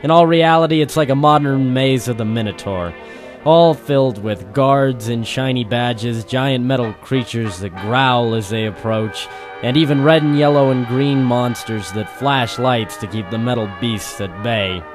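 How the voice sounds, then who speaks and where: A man's voice: mid-range voice, a little slow, close to an everyday voice. A man playing a world-weary, wisecracking private detective in an old-time radio drama style, with theatrical noir delivery. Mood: brooding narration. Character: world-weary hardboiled male private detective narrator, ex-Marine. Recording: music behind the voice.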